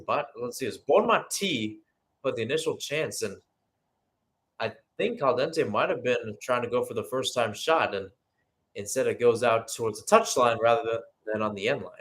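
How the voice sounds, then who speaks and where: A man with a mid-pitched voice commentates on a live football match in radio play-by-play style. He follows the action in short calls, then talks it over conversationally, as if thinking aloud. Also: animated, normal speed.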